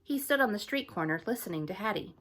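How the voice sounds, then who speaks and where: A teacher reading a picture book aloud to her students in a gentle, friendly storytelling manner. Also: mid-range voice, animated, fast talker.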